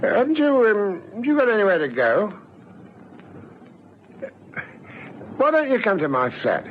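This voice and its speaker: An actor in an old radio drama playing a nervous, well-meaning caretaker who stammers hesitantly. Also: male voice, high-pitched, wildly swooping pitch, brisk. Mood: awkward, concerned. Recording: muffled old radio recording, faint noise.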